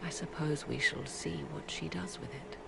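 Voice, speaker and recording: A woman voicing an aloof, proud witch who speaks in a cool, measured, dryly ironic way. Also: sits low, creaky, noisy background.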